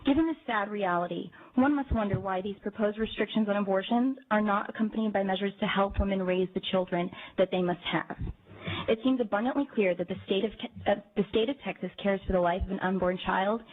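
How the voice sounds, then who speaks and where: A woman's voice: mid-range voice, a little fast. Composed young woman giving formal testimony. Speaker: citizen testifying at a hearing. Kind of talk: prepared public testimony. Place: legislative committee hearing room. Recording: tinny, phone-like archive audio.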